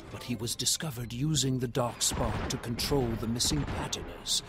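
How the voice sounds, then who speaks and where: An actor playing a solemn robot guardian in a video game, narrating a log in a grave, measured, dutiful manner. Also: male voice, fairly low pitch, close to an everyday voice.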